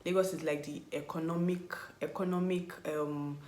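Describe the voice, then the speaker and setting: A woman's voice: deep voice, fairly even intonation, normal speed, dark tone. A young Nigerian woman in her twenties, talking casually to camera. She rambles, corrects herself and often searches for words. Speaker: vlogger. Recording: echoey room.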